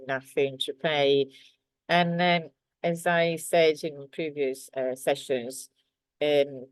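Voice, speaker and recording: A woman's voice: rumbling low, dry recording, low-quality recording. A woman from Sardinia with an Italian accent, a native Italian speaker, teaching an online lesson in a hesitant way full of fillers. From Sardinia, Italy. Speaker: language teacher.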